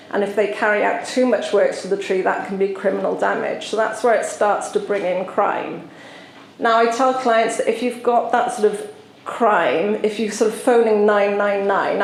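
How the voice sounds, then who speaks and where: A woman's voice: mid-range voice, a little mellow. Woman, Welsh accent, solicitor giving a conference talk, echoey hall. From South Wales. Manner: explanatory, with frequent "um" pauses. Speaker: tree law solicitor presenting. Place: conference venue.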